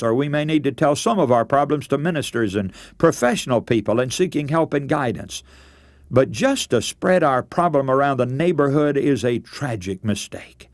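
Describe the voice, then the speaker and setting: A man's voice: medium pitch, no echo. An American man preaching on the radio, speaking directly to listeners in an earnest, emphatic, admonishing manner. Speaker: radio preacher and broadcast host.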